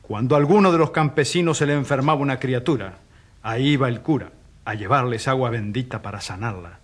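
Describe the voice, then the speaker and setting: An actor playing a pious, servile hacienda steward who talks in a deferential, gossipy, complaining way in a radio drama. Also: male voice, medium pitch, brisk, slightly rough, lightly acted. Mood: reverent, smug.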